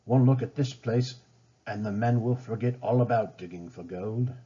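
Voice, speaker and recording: A man's voice: lowish voice, moderate pace, warm tone, husky. A brave, earnest settler acted out by a male storyteller, plain-spoken and sincere. It is a storybook reading with a somewhat dull, band-limited sound.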